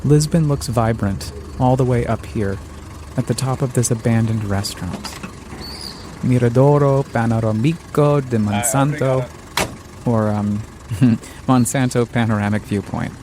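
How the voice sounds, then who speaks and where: A man hosting a podcast, reading scripted, reflective narration in a measured, earnest way. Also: medium pitch, background noise. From United States.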